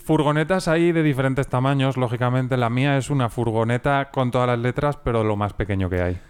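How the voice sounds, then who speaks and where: A man's voice: mid-range voice, fairly quick, smooth. A man co-hosting a podcast, explaining things in a self-assured, easygoing way during casual chat. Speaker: podcast co-host, engineer.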